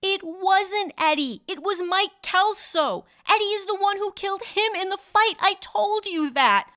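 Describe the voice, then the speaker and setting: A woman's voice: very high voice, measured pace, fairly bright tone. A woman playing a frightened young stripper under questioning in an audio drama, distraught and pleading her innocence. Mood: defensive.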